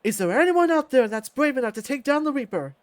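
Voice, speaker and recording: A man's voice: extremely high pitch, very animated, fast talker, pitched above the performer's own voice. A man voicing a sleazy, brash fight ring announcer who hypes up the bets in a visual novel story. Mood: hyped. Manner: brash showman hype.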